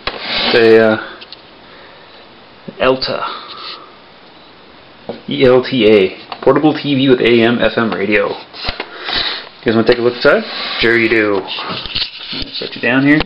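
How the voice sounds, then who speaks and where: A man's voice: medium pitch, unhurried, pauses often. Young guy rambling at his workbench, lots of ums, muffled camera mic, bit of hiss. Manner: relaxed, rambling, many fillers. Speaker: electronics hobby vlogger. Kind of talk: casual show-and-tell vlog. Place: home workbench, indoors. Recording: dull lo-fi camera audio with faint hiss.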